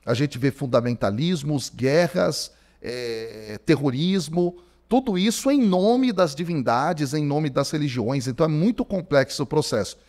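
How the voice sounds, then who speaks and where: A man's voice: on the high side, a little slow. A Brazilian man around forty, a theology professor talking as a podcast guest, telling his story casually with lots of fillers. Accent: Brazilian Portuguese. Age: 40s. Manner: animated storyteller, many fillers like "cara". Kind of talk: conversational podcast interview. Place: podcast studio. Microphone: studio microphone.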